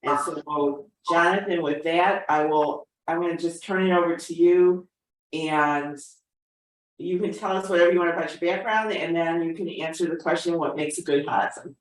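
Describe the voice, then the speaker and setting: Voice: medium pitch, normal speed. A congregation member giving an informal spoken introduction of a guest on a video call. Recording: very echoey, distant video-call microphone.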